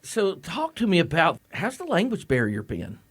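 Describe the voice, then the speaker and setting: A high school principal talking on a local radio show. He is upbeat and chatty, and he encourages his student guests warmly while keeping the conversation easy and friendly. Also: wildly swooping pitch, normal speed, slightly rough. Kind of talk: casual radio talk, interviewing students. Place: radio studio.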